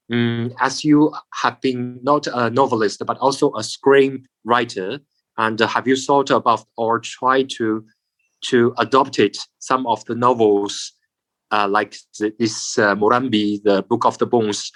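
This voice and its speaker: A man's voice: medium pitch, a bit bright, rough recording. A man putting prepared questions to an author in an interview, setting them out methodically and rephrasing as he goes.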